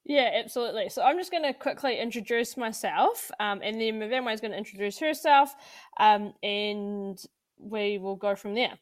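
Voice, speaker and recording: A woman's voice: medium pitch, moderate pace, dry recording, clean studio sound. A woman, co-hosting a casual podcast and chatting with many fillers. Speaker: podcast co-host.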